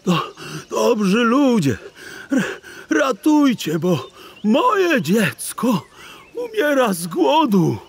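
A man's voice: extremely high pitch, wildly swooping pitch, very slow, slightly rough, pitched above the performer's own voice, heavily acted. A man voicing a kindly, anxious old sage in an acted children's audiobook. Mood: panicked, breathless. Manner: breathless, stammering when alarmed, whispering when alone.

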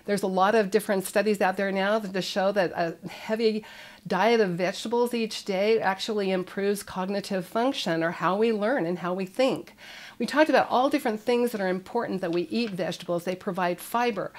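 A woman's voice: mid-range voice, moderate pace. Older lady talking to the camera, friendly storyteller, runs through lists of things. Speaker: parenting show host. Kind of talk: talking-to-camera parenting advice. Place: indoors, room with children's books behind.

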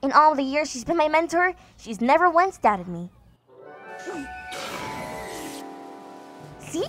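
A woman's voice: high-pitched, big pitch swings, normal speed, fairly bright tone, faint music behind. A woman voicing a studious, bossy young female unicorn in a cartoon fan dub, speaking precisely and insistently. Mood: smug.

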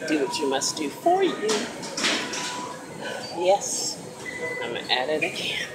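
A low-voiced woman in her forties talks into her phone at the gym, slow and relaxed but with a lively, swooping lilt. Loud gym noise fills the space behind her as she chats and cheers her viewers on. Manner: chatty, encouraging, slow and easygoing. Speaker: vlogger. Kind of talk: casual workout vlog. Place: indoor gym, large room. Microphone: phone camera at arm's length, wired earbuds.

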